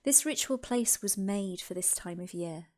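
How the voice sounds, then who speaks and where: A woman narrating a scripted guided meditation as host, with deliberate, reverent phrasing and pauses. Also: mid-range voice, lively intonation, warm tone.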